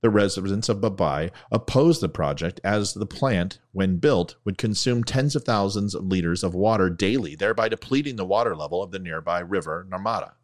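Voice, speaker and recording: Low-voiced man reading facts with jokey asides. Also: brisk, few pauses. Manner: jokey, stumbles over foreign names. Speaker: commentary video host.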